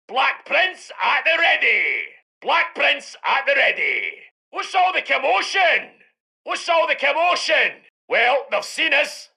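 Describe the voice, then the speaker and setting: A man's voice: extremely high pitch, gravelly, bright, forceful tone, exaggerated voice. A man voicing a brash, battle-eager British heavy tank commander in a military game, barking out battle cries and reports. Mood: eager, cocky. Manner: barked battle cries and radio-style reports. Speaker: video game voice actor. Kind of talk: military game unit voice lines.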